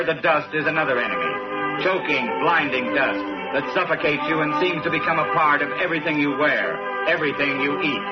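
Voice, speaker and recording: Dull vintage recording of a solemn narrator. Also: male voice, fairly high pitch. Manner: solemn, dramatic phrasing. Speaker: documentary narrator. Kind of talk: scripted mid-century documentary narration. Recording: muffled old broadcast recording, music behind.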